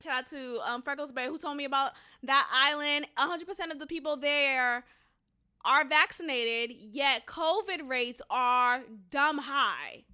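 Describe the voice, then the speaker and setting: A woman's voice: high voice, a little slow, sharp tone. A young woman with a Boston accent hosting a solo opinion podcast, talking in an opinionated, sarcastic and emphatic way. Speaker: podcast host.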